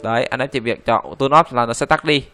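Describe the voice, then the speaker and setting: A man giving an informal software tutorial, often repeating words and phrases. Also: mid-range voice, fairly quick, over music. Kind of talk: informal screen-recorded tutorial.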